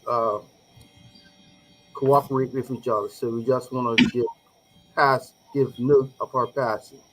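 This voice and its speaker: A man hosting a live regional talk show, speaking in a deliberate, measured way with emphatic phrasing. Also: medium pitch, a bit bright, poor audio.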